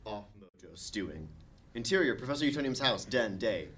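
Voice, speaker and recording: A man's voice: fairly low pitch, lively intonation, fairly quick, a bit bright, slight background noise. A man reading scene directions as narrator at a comedic table read.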